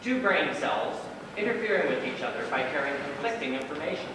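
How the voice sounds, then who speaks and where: A man's voice: on the high side, big pitch swings, fairly quick. A man on stage playing an analytical police psychologist, lecturing with emphatic instruction. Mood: explanatory. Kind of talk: live amateur stage play acting. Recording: echoey hall from far off, noisy.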